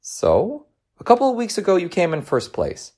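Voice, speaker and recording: A man voicing a gentle, teasing working mother in a storybook reading, coaxing and reassuring. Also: high voice, wildly swooping pitch, normal speed, voice pushed up, heavily acted. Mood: encouraging, playful.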